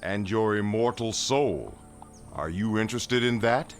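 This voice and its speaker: A man's voice: lowish voice, unhurried, faint music behind, close to an everyday voice. A man acting an earnest, pious frontier reverend in a Western audio drama, intoning solemnly.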